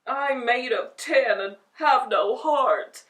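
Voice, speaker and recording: A woman's voice: a little high, slow, fairly bright tone, distant mic, pitched above the performer's own voice. A woman voicing a male tin man who begs for a heart. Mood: pleading. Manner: begging. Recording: echoey room, voice off-mic.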